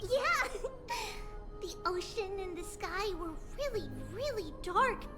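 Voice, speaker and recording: Game role: innocent small girl, tiny high pitch, unhurried, earnest, music behind. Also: expressive, heavily acted. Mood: excited, dreamy. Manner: earnest, childlike, simple wide-eyed storytelling. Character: innocent, chatty little girl, young priestess-to-be. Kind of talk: voiced game cutscene dialogue. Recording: game audio under clear music.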